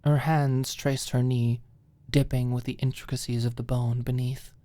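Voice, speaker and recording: A woman narrates a scripted story in an ASMR audiobook style. Her voice is low and her delivery expressive. The recording is very dry, with no room sound. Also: normal speed, dry recording.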